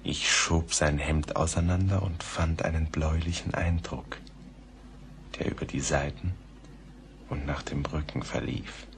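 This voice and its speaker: Very deep, haunted narrator. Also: male voice, fairly even intonation, vocal fry, exaggerated voice. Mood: somber, measured. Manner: slow, measured, brooding, sometimes near whisper. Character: grave, haunted male narrator recalling a tragedy. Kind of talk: German radio play narration, letter-like storytelling. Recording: faintly noisy old radio play recording.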